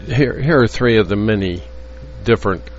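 A man teaching from the Bible in an earnest, halting way, with frequent restarts and fillers. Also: fairly low pitch, lively intonation. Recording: dull lo-fi audio with faint hum.

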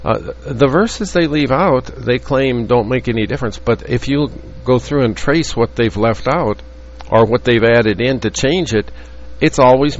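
A man teaching from the Bible in an earnest, halting way, with frequent restarts and fillers. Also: medium pitch. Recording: dull lo-fi audio with faint hum.

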